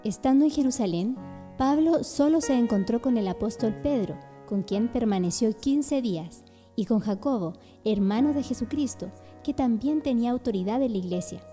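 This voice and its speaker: Mellow woman narrator with long reverb. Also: on the high side, expressive, normal speed, soft background music. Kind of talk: scripted radio drama narration.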